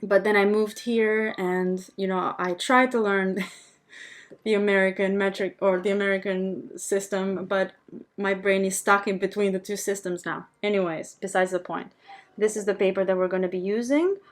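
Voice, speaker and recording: A woman's voice: mid-range voice, steady tone, normal speed. A woman from Albania speaking casually and chattily as she hosts a live craft tutorial, friendly with her viewers. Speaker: host of a craft tutorial livestream.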